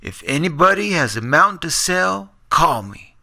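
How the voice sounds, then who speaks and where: An eccentric mountain lab director, acted out by a man, speaks in a high-pitched voice with a backwoods twang. He is folksy yet earnest about his science, like a storybook woodsman. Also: very animated, normal speed, vocal fry, sharp tone, exaggerated voice. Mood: folksy storytelling. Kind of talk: audiobook character reading.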